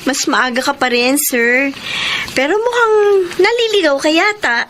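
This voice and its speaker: A woman's voice: high voice, very animated, a little slow, sharp tone, a little hiss or hum, full character voice. An actress playing a feisty young woman student in a Filipino radio drama, guarded yet devoted. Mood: teasing, puzzled. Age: young adult.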